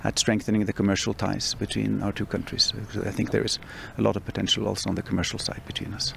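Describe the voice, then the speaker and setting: An ambassador from Norway giving a halting interview statement with fillers. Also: male voice, on the low side, little pitch movement, vocal fry, slight background noise. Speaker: Norwegian ambassador.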